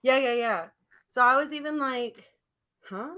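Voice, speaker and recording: A woman co-hosting a casual comedic podcast, telling stories in an animated, slangy way, acting out her replies and laughing often. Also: high-pitched, unhurried. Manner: animated storytelling, acts out her own text replies. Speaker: podcast co-host.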